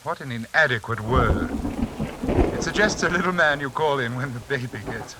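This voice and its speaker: An actor in a 1940s radio drama playing a pompous, sinister mesmerist with grandiose, condescending, precise speech. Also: male voice, medium pitch, normal speed, natural voice. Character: pompous, sinister mesmerist half-brother just back from India. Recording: hissy 1940s radio broadcast. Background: rain sounds.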